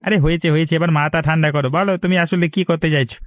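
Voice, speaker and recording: A man's voice: a little high, steady tone, very fast, dry recording, studio quality, close to an everyday voice. A man voicing a greedy, bumbling village husband in a cartoon, eager and sly, with a flustered, wheedling, repetitive delivery. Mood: placating, confused. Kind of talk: Bengali cartoon voice acting.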